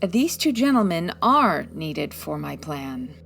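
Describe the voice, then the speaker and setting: An actress playing a brisk, confident female private detective in a comic 1940s-style radio drama, commanding and take-charge. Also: sits low, sing-song, vocal fry, fairly bright tone, a little hiss or hum, exaggerated voice. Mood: confident scheming.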